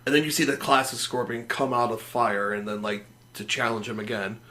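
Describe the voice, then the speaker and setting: A man co-hosting a casual gaming podcast, sniffly from a cold, excitable and swearing freely. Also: medium pitch, fairly quick. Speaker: podcast co-host.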